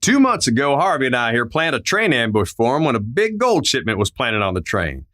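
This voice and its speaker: A man's voice: medium pitch, sing-song, a little fast, a bit bright. A man playing a friendly, loyal Old West sheriff in a radio drama, with a folksy drawl full of frontier slang. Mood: puzzled, excitable. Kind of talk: old-time western radio play acting.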